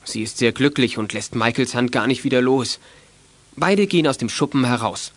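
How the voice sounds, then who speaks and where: Man, narrator, mid-pitched voice, scripted storytelling, youth detective radio play, very dry recording. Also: moderate pace, no room sound.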